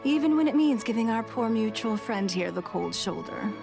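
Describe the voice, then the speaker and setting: A woman playing a composed, sly, teasing noble official with formal, measured speech in a fantasy game. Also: fairly high pitch, lively intonation, vocal fry, music in the background. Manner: formal, measured, archly polite. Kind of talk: anime fantasy game voice acting.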